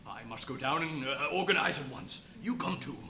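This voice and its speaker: An actor in a live radio play playing a flustered but dutiful police chief, urgent and blustering with hesitations, in an echoey venue. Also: male voice, a little high, lively intonation, moderate pace, pushed and bright, a little hiss or hum. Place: live performance in a brewery venue.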